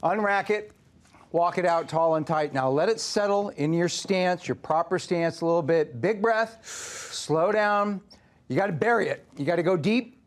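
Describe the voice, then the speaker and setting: A male strength coach gives hands-on instruction in a gym. He speaks in short clipped commands, in a fairly high voice for a man. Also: normal speed. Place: inside a gym.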